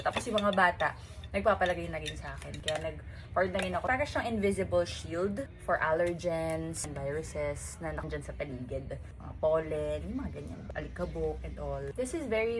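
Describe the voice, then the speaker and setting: A woman's voice: on the low side, low-quality recording. A Filipino woman vlogger chatting informally and casually through a shopping haul, slipping between two languages.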